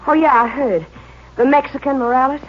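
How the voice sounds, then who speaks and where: An American actress playing a hot-tempered, evasive young horsewoman, sharp and defensive, in an old-time radio drama. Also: high-pitched, fairly quick, natural voice. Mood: defensive, curt. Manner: sharp, defensive, hesitant when pressed. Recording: muffled, noisy old radio recording.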